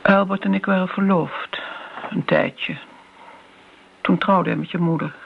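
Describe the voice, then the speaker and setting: Een actrice die in een hoorspel een oudere, keurige tante speelt, ontwijkend en sentimenteel, met een ingehouden stem. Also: female voice, on the low side, fairly even intonation, measured pace. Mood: defensive. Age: older. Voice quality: hushed, creaky. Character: elderly genteel aunt, evasive, sentimental, prim. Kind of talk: radio play acting. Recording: muffled, noisy old radio play recording.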